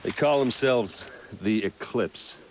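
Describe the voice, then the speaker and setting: A man's voice: mid-range voice, takes it slowly, background noise, lightly acted. A man playing a brash, loyal, joking soldier captain in a video game, blustery and teasing. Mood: wry, familiar. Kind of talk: video game cutscene acting.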